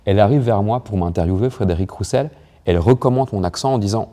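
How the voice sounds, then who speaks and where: Low, mellow-voiced young French writer. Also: male voice, fast talker. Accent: neutral Parisian French. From France. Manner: hesitant, many restarts and fillers. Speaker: writer and poet. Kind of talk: interview.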